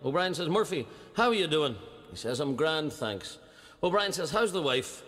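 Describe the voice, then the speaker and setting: A man's voice: on the high side, sing-song, moderate pace, a bit bright. A man telling a long stand-up joke, acting out the people in it. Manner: acts out characters in a lilting storyteller's voice. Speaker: comedian telling jokes. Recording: played-back stand-up set with hall reverb.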